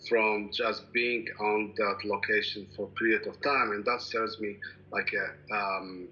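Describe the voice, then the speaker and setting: Male, native Polish speaker with Polish accent, very sharp tone, searching for words, faint background noise. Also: on the low side, steady tone, unhurried, dull audio. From Poland. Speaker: landscape painter, interviewee. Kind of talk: reflective personal account of working methods.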